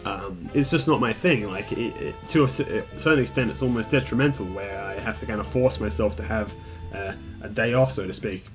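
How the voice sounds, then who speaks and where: A man's voice: on the low side, brisk. A young man telling a personal story in a casual, rambling, candid way, with mild swearing and self-deprecation. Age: young adult. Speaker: gaming video creator telling a personal story. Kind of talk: casual storytime chat over gameplay. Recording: music behind the voice. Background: calm guitar music.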